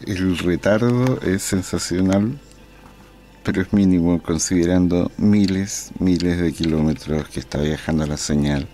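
Deep-voiced Chilean man on ham radio. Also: normal speed, mellow. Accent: Chilean Spanish. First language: Spanish. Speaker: amateur radio operator. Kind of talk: ham radio contact with commentary. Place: indoors at a desk, small room.

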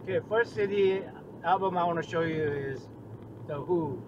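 A man's voice: a little high, normal speed. A middle-aged man in his fifties chatting to the camera while driving, rambling and enthusiastic, with lots of restarts and fillers. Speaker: music vlogger. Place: inside a car on a highway. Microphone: dashboard camera mic. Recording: dull lo-fi car audio with loud road noise.

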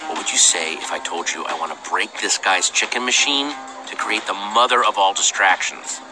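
A man's voice: on the low side, normal speed, over music, natural voice, low-quality recording. An actor playing an earnest young male hero in a video game, speaking casually but with urgency. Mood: determined.

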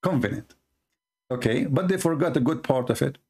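Man, arabic native speaker, gravelly husky voice, sarcastic debate host, combative. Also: mid-range voice, normal speed, darkish tone. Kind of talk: screen-share debate commentary.

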